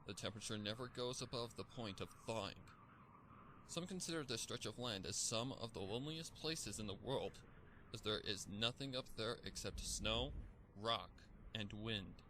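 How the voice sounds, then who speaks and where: A man's voice: lowish voice, pushed and bright. Man narrating a story, faint background noise. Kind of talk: scripted dramatic story narration. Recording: faint noise and ambience behind.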